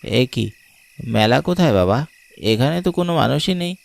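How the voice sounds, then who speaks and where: A man's voice: medium pitch, animated, moderate pace, vocal fry. A man voicing a young son in a horror cartoon, plaintive and questioning as he talks to his father. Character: young son, eager for the fair, pleading with father.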